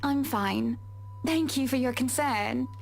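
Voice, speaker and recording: A woman's voice: high voice, slow, creaky, heavily acted. A woman voicing a refined, composed grown woman who speaks in a formal, polite way. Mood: gracious.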